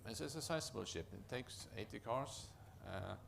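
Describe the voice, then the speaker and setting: A man with a low, gravelly voice and a Norwegian accent gives a conference presentation. He speaks haltingly, often stopping to restart and repeat words. His voice is deep and creaky throughout. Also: expressive, a bit bright, a little hiss or hum. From Norway. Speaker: project manager presenting at a conference.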